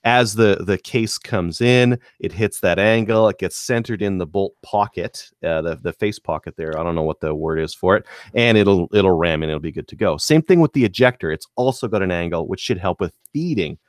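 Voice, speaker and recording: A man's voice: sits low, moderate pace, hardly any pauses, no echo, studio quality. A man talking casually as a podcast co-host, explaining things in stop-start phrases. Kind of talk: casual gun-hobby chat.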